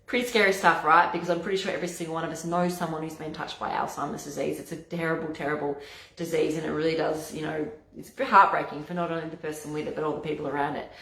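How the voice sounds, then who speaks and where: A woman naturopath with a hoarse, husky voice, chatting casually to camera and often stumbling over and restarting her words. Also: deep voice, steady tone, quick pace, a bit bright. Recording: echoey room.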